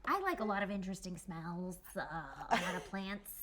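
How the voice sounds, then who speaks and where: A woman's voice: mid-range voice, big pitch swings, a little fast, crowd noise. A woman playing a tabletop roleplaying game, bantering casually in character, with some background noise. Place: indoor studio set.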